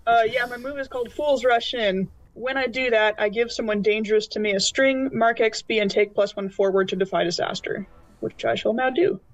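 A woman's voice: on the high side, fairly even intonation, brisk, no echo, rough recording. A woman playing a tabletop roleplaying game, chatting casually as she explains her moves. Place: remote online call.

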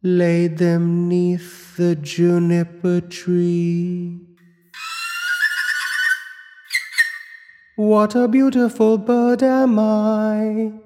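A man voicing an eerie singing bird, the spirit of a murdered boy, in a slow chanting singsong. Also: high-pitched, little pitch movement, in a large hall, over music, voice pushed up.

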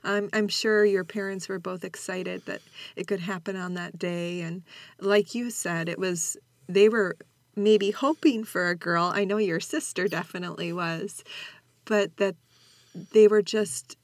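A woman's voice: medium pitch, steady tone, a little slow, dry recording. A woman hosting a conversational podcast interview in an encouraging, attentive manner.

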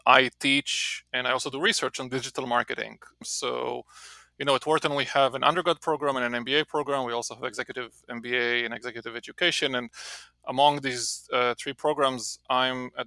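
Gravelly Israeli-accented man. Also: medium pitch, dry recording. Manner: relaxed, rambling with many restarts. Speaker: marketing professor, guest. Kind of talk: casual interview talk. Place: home office.

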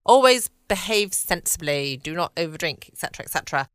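A British woman chats casually as a bedtime podcast host. Her voice is slightly low, smooth and bright, in a dry home recording. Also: expressive, a little fast, no room sound. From United Kingdom.

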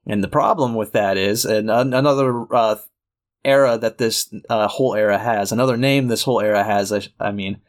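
A man's voice: on the low side, a little fast. A man co-hosting a podcast in casual chat, with a nasal voice, rambling and laughing often. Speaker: podcast co-host.

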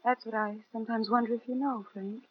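An actress playing a careful, gentle young mother in a 1940s radio drama. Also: fairly high pitch, fairly even intonation, quick pace, a little hiss or hum, lightly acted. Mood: hesitant, earnest. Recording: dull vintage 1940s radio recording.

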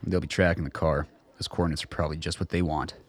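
An actor playing a gruff, wary old father who talks tersely in a radio play. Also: rumbling low, level tone, fast. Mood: suspicious. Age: older. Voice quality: gravelly, husky. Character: gruff, suspicious, foul-mouthed old father.

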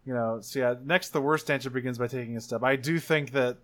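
A man's voice: mid-range voice, steady tone, brisk, a bit bright. Man narrating a tabletop role-play game. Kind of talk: casual improvised game narration.

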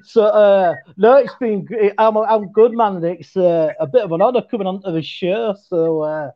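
Casting: man with a West Yorkshire accent, high voice, chatty guest, dull lo-fi call audio. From West Yorkshire, England. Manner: chatty, stumbles and restarts, quick bursts. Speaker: podcast guest. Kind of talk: casual chat.

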